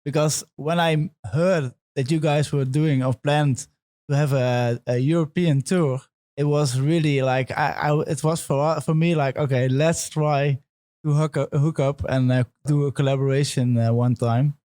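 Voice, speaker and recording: Man, Dutch accent, higher pitch, eager friendly chat, halting phrasing. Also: steady tone, a little mellow, no room sound. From Netherlands. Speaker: podcast co-host. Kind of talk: casual chat.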